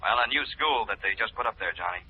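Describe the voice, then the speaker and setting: A man playing a brisk, businesslike chief insurance investigator in a 1950s radio drama, direct and urging. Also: lowish voice, lively intonation, breathy edge. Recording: muffled old radio, faint noise.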